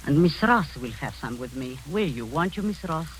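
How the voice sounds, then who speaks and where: An actress in her sixties playing a domineering, sweetly sinister old woman in a 1940s radio drama, speaking softly and insistently with low chuckles. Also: animated, moderate pace, low-quality recording. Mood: sinister, coaxing. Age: 60s. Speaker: Aunt Rita, Miss Crable. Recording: old radio broadcast with faint hiss.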